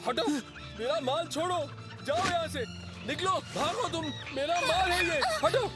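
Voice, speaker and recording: Loud fussy cartoon trader, super high voice, whiny and exclamatory, music under it. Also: male voice, lively intonation, a little slow. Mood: frantic, angry. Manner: whining, indignant, exclamatory. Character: fussy, loud merchant man obsessed with his precious goods. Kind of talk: Hindi cartoon dub acting. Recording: music behind the voice.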